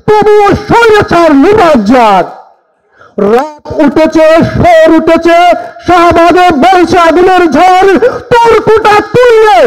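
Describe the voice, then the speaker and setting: A man's voice: extremely high pitch, rough recording. A young man with a Bangladeshi accent recites protest poetry in a fervent, defiant, declamatory manner. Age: young adult.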